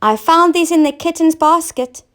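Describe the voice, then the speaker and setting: A woman's voice: extremely high pitch, normal speed, voice pushed up. A woman voicing a quarrelsome, bossy queen in a children's storybook, scolding and demanding. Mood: urgent, demanding. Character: quarrelsome, bossy queen, brusque but helpful. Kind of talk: children's storybook character reading.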